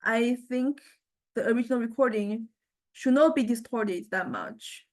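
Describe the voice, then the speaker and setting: A woman lecturer giving an informal, unscripted software demonstration, with halting phrasing and frequent check-in questions. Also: a little high.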